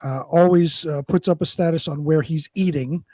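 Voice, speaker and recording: A man's voice: on the high side, steady tone, moderate pace, mellow, dry recording, clean studio sound. A man co-hosting a live show in a casual, conversational way. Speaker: co-host of a live online show.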